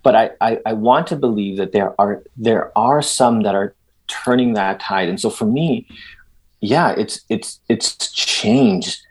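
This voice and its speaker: A middle-aged man from El Salvador, a guest in a conversational interview, talking in an animated way with frequent restarts and emphatic asides. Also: on the low side, unhurried, husky.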